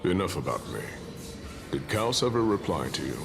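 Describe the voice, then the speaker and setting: A man's voice: deep voice, big pitch swings, moderate pace, vocal fry, over music, heavily acted. An actor playing the spirit of a grim, battle-worn male warrior in a video game, somber and resigned.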